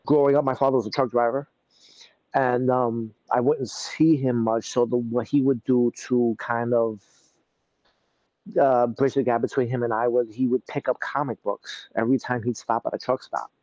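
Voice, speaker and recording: A man in his thirties with a New York accent, chatting casually as an interview guest, relaxed and rambling with many fillers. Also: mid-range voice, moderate pace, dry recording, muffled recording. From Brooklyn, New York. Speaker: guest artist. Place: outdoors in a city courtyard.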